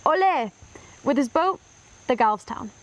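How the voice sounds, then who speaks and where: A woman narrating a children's history book aloud with clear, expressive storytelling. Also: high voice, moderate pace.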